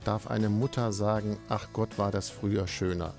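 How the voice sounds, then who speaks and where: A man hosting and moderating a call-in radio discussion, calm and measured as he steers the guests. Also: on the low side, soft background music. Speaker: radio talk show host.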